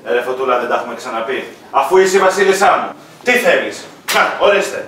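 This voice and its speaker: A man's voice: mid-range voice, animated, fairly bright tone, very echoey, far from the mic, a little hiss or hum, lightly acted. A man playing a sweet-talking nightclub owner husband in a comedy sketch. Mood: coaxing.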